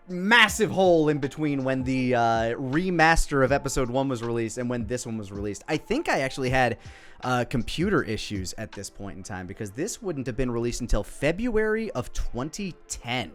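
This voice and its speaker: A man's voice: medium pitch, pushed and bright. A man in his thirties with an American accent giving casual, off-the-cuff commentary in a rambling way, often interrupting himself. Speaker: video creator. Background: background music.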